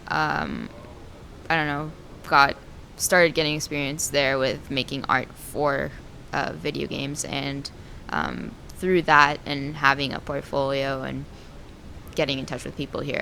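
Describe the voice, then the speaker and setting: A young woman speaks softly and hesitantly, her pitch sitting low for a woman. A faint rumble hums under the recording. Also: level tone, measured pace, creaky. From Israel, raised in the United States. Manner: soft-spoken, hesitant, many "um"s and laughs. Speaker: game artist. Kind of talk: interview answers.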